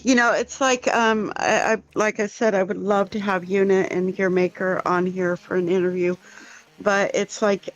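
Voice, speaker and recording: A woman's voice: medium pitch, fairly even intonation, normal speed, highs cut off, faint music behind. A woman co-hosting a casual chat, hesitant and full of ums, laughing often. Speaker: co-host.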